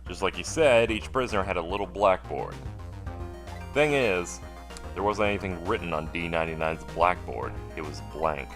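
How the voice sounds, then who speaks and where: Man acting out a wry, deep-voiced dead detective figure. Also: normal speed, music in the background. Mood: puzzled, wry. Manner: sardonic, questioning, pressing. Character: wry amnesiac dead man, a needling amateur investigator.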